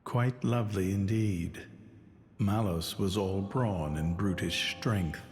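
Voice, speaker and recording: An actor playing a solemn, ambitious man of quiet authority in a video game cutscene, speaking formally and deliberately. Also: low voice, faint music behind. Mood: calm, solemn.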